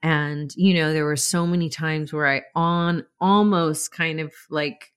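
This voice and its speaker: A woman's voice: low voice, fairly even intonation, measured pace. A woman hosting a podcast, affirming her guest and sharing her own experience in an easy, open way. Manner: affirming, breathy exclamations, laughs easily. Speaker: podcast host. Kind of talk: warm conversational interview.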